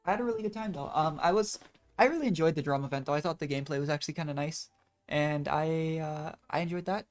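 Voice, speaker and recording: A woman's voice: deep voice, fast. A woman streamer giving casual live game commentary, chatty and thinking aloud as she goes. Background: faint game music.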